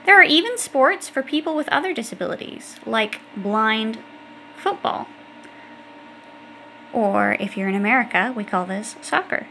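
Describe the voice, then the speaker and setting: A woman's voice: fairly high pitch, animated, measured pace, sharp tone, slight background noise. A woman reading an educational children's book aloud, explaining things directly to young listeners. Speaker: audiobook reader.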